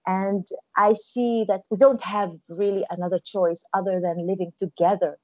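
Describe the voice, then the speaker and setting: A woman's voice: mid-range voice, fairly even intonation, moderate pace, dry recording, muffled recording. A woman in her forties from Israel, a musician and actress, answering interview questions in a thoughtful way with frequent restarts. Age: 40s. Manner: thoughtful, warm, with soft laughs and frequent restarts.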